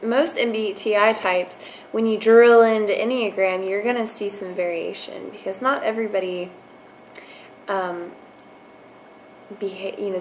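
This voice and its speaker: A young woman with a hoarse voice, talking through her thoughts to camera in a casual vlog, full of fillers and restarts. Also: medium pitch, slight background noise, rough recording. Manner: musing, lots of fillers and restarts. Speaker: vlogger. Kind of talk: casual explanatory monologue.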